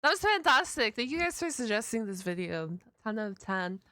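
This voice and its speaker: A woman's voice: on the high side, big pitch swings, brisk, sharp tone, slight background noise. A woman giving casual, slangy, gushing reaction commentary as a video host.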